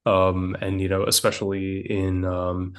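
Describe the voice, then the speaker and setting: A man with a very deep voice and a Midwestern American accent, co-hosting a relaxed podcast chat. He talks in halting bits, hedging with fillers before landing his point, and laughs easily. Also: clear, resonant tone. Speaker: podcast co-host. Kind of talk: casual conversational chat.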